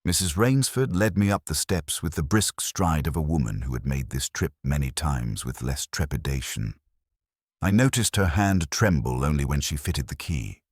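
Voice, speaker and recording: A man reading a first-person detective story as an audiobook narrator, in a wry, measured storytelling manner. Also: extremely low pitch, lively intonation, husky, no echo, studio quality.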